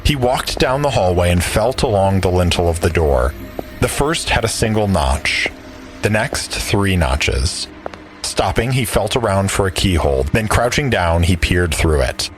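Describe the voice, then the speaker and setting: A man's voice: low voice, lively intonation, measured pace, soft background music, rough recording. A man narrating an audio drama as a storyteller, reading scripted prose. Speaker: narrator and player.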